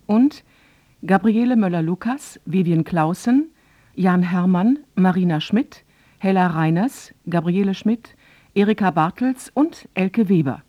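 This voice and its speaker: A woman reading scripted radio drama credits in a measured, neutral way. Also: on the low side, animated, dark tone, dry recording. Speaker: credits announcer.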